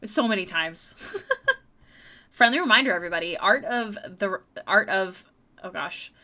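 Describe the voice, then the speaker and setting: A young woman talks casually to her live-stream viewers while she works on a drawing. She is chatty and laughs easily, often repeating words as she thinks aloud. Her voice is bright and crisp, at an ordinary pitch for a woman. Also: animated, measured pace. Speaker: streaming artist.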